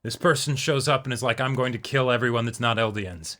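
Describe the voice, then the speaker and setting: A man's voice: mid-range voice, a little fast, fairly bright tone. A man co-hosting a reaction show, chatting casually with a wry, joking manner and tossing in mock quotes.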